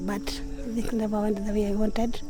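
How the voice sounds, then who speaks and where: A young woman from Kenya, recounting her own life in a halting way with frequent restarts. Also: mid-range voice, level tone. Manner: halting, with restarts and self-corrections. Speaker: interviewee. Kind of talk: personal testimony. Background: background music.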